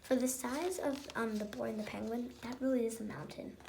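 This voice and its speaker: A woman's voice: fairly high pitch, brisk, gravelly, warm tone, a little hiss or hum, poor audio. A female co-host casually commenting on a picture book in a musing, questioning way. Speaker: read-aloud co-host.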